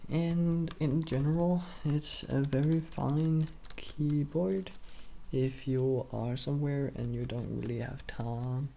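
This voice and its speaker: A man doing a soft-spoken ASMR roleplay as a shop assistant, talking in a hesitant, halting, unhurried way. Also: a little high, fairly even intonation, gravelly, slight background noise. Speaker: ASMR creator playing a keyboard shop assistant.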